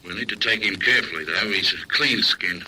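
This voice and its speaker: Australian go-between, piercing telephone voice, quick, low-pitched, radio crime drama. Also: male voice. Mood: confidential. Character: brisk criminal go-between reporting over the telephone. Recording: noisy, sharp telephone line.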